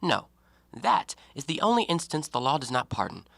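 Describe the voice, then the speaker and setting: A man playing a talkative, educated and self-righteous gentleman antiquarian in an audio drama, given to theatrical, argumentative speeches. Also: on the high side, very animated, normal speed, creaky, no room sound, full character voice. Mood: firm, reasoning. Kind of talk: stage-play acting in an audio drama.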